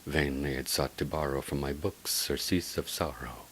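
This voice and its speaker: A man giving a dramatic Gothic poetry recitation in a gravelly, theatrical voice that swings between hushed and loud. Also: extremely low pitch, fairly even intonation, moderate pace, a little hiss or hum. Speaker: poetry reader.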